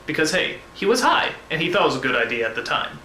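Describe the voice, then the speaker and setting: A man's voice: fairly high pitch, sing-song, quick pace, sharp tone. An American man hosting a scripted comedy review, theatrically incredulous and given to exasperated ranting. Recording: roomy echo, faint background noise.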